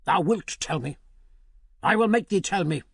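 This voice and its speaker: A man's voice: sits high, lively intonation, moderate pace, vocal fry, husky, dry recording, clean studio sound, full character voice. A man voicing a stern, proud king who speaks in a solemn, archaic, commanding way. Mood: threatening.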